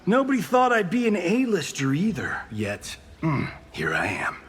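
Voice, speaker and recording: Cocky raspy movie star, swooping wildly. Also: male voice, fairly high pitch, huge pitch swings, moderate pace, exaggerated voice. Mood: smug, teasing. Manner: flippant, slangy, exaggerated swoops. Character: cocky, wisecracking male Hollywood movie-star fighter. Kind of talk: comic video game acting.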